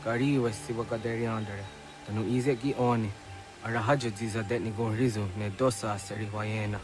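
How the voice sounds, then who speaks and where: An actor playing an earnest, serious young village warrior in a video game cutscene. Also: male voice, lowish voice, steady tone, a little fast, over music, natural voice. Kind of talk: dramatic game cutscene acting.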